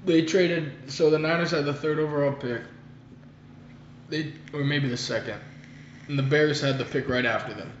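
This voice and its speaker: A man's voice: on the high side, fairly even intonation, moderate pace, pauses often, a little hiss or hum. A young man co-hosting a podcast, telling stories in a rambling, jokey, profane way in casual chat. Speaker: podcast co-host. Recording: echoey room, dull lo-fi sound.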